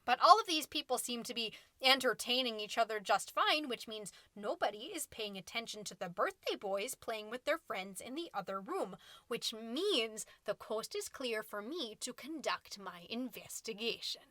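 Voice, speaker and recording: A woman's voice: fairly high pitch, animated, normal speed, bright, forceful tone, no room sound. A woman playing a catty, indignant mom who hosts a podcast, delivering a sarcastic, melodramatic comedic monologue. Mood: conspiratorial, dramatic. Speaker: podcast host.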